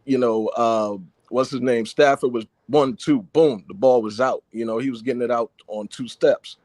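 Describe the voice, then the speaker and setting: A man's voice: medium pitch, fairly even intonation, moderate pace, darkish tone, no echo. Laid-back male caller, dry sound. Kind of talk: casual sports talk.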